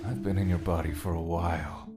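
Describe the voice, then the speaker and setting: A man's voice: low-pitched, fairly even intonation, brisk, close to an everyday voice. A man voicing a needling inner spirit who shares a prince's body, in an audio drama. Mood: needling, sardonic. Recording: loud music over the voice.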